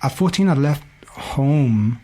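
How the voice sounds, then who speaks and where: A man in his forties with a Belfast accent and a husky voice. He tells stories in a casual, candid way as a podcast guest, peppering his talk with "you know". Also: medium pitch, expressive, slow pace, mellow. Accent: Belfast Northern Irish. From West Belfast, Northern Ireland. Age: 40s. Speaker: podcast guest, hip-hop DJ. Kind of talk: casual conversational storytelling.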